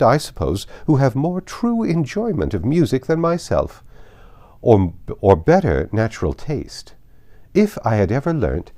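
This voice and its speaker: A man's voice: medium pitch, mellow, voice pushed up. A man voicing a haughty, overbearing aristocratic lady with an imperious, declamatory delivery in a classic novel reading. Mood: self-satisfied. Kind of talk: classic novel read aloud.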